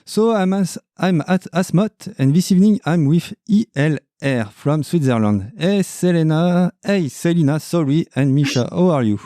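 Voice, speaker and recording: A man's voice: on the high side, expressive, normal speed, hardly any pauses, dark tone, no echo, clean studio sound. Hesitant French-accented male host. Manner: hesitant, many fillers. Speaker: podcast host. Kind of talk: casual interview.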